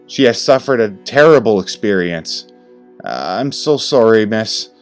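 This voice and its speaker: Soothing young woman paramedic, acted by a male narrator, raised pitch, helpful and kind, horror story. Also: animated, measured pace, clear, resonant tone, faint music behind.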